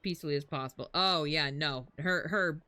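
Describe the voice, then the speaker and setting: A woman's voice: low voice, a little fast, bright, forceful tone. A woman livestreamer reacting casually to a game, sarcastic and slangy, swearing freely. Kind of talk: casual live reaction commentary.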